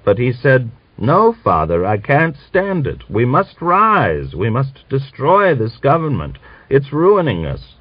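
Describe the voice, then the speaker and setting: A man voicing a pious, bitter old man of nearly a hundred, who scolds and preaches and reads scripture slowly and solemnly. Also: medium pitch, huge pitch swings, breathy edge, no room sound, voice pushed up, full character voice. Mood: scolding. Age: older. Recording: dull dry recording.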